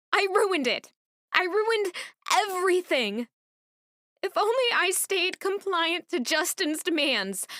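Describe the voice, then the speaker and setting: Casting: actress as troubled young lady-in-waiting, high voice, earnest and emotive, fantasy audio drama, dry close recording. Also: bright, forceful tone, no room sound, full character voice. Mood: panicked, bitter, distraught. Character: anguished young former maid turned lady-in-waiting.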